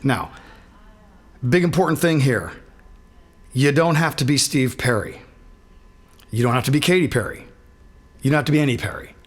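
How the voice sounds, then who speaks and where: Raspy-voiced man teaching singing. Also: mid-range voice, normal speed, pauses often. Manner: relaxed, self-deprecating, loose and conversational. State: sinus trouble, throat not feeling great. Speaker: guitarist giving a singing lesson. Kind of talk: casual instructional talk.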